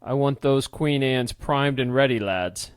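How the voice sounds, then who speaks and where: A stern middle-aged blacksmith and father, gruff and commanding, as a male narrator reads him. Plain, mid-pitched voice, recorded close and dry. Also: measured pace, dry recording, natural voice.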